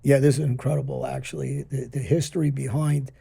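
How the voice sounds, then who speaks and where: Gravelly dark-voiced man, rambling. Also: medium pitch, flat delivery, fairly quick. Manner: rambling, many "uh"s and "you know"s. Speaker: podcast host. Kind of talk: casual interview chat.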